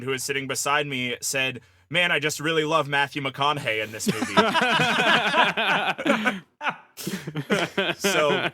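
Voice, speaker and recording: A man's voice: medium pitch, sharp tone, dry recording. A man chatting casually as a podcast panelist, with a playfully hyperbolic, emphatic way of talking. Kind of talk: casual comedic panel chat.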